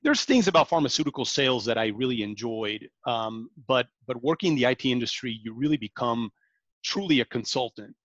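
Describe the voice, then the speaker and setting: A man in his forties from Venezuela, a sales director talking as a podcast guest, halting often with ums and restarts. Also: medium pitch, lively intonation, fairly bright tone, no echo, lo-fi sound. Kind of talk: interview conversation.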